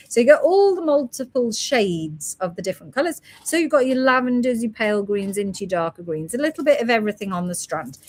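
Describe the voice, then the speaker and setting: A woman hosting a live stream selling gemstone beads, chatting casually and reading out product codes and prices. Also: on the high side. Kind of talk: casual live sales chat.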